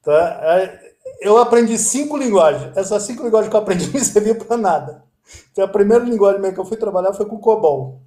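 A man in his fifties from Brazil, a guest telling stories in a relaxed, chatty way. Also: sits high, fast talker, roomy sound. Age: 50s. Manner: storytelling, lively and chatty. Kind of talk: casual interview chat.

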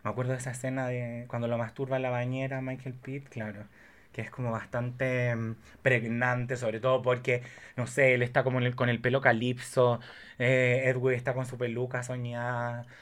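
A man's voice: medium pitch, monotone, normal speed, fairly bright tone. A man with a Chilean Spanish accent chatting casually about films in a campy, effusive, exclamatory way. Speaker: podcast guest.

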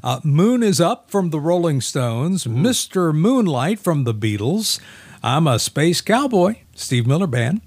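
A man's voice: a little high, sing-song, unhurried, a little mellow, breathy edge, dry recording. A man co-hosting a music show, chatting casually and agreeably about old songs. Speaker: radio show co-host.

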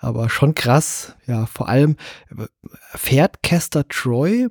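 A man hosts a relaxed film podcast in a mid-pitched, somewhat husky voice. He talks in a chatty way, feeling out his thoughts as he goes. The sound is dry, with hardly any room on it. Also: slow, no room sound. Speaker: podcast host. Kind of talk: casual film discussion.

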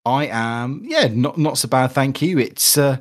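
A man's voice: mid-range voice, expressive, a little slow. A man hosting a casual gaming podcast, chatty and upbeat as he reads plugs and talks strategy. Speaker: podcast host. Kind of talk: casual podcast chat about a football video game.

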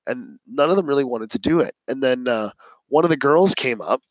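A man's voice: mid-range voice, lively intonation, fairly quick, no echo, low-quality recording. A male teacher casually recounting a classroom story, rambling with many fillers and restarts and quoting himself along the way.

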